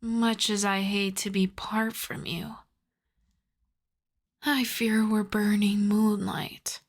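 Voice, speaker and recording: A seductive vampire countess played by a woman speaks in an intimate ASMR roleplay, drawing her words out very slowly. She slips between soft voice and half-whisper, teasing and possessive. Her commands come wrapped in endearments, with playful menace underneath. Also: mid-range voice, flat delivery. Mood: hungry, possessive.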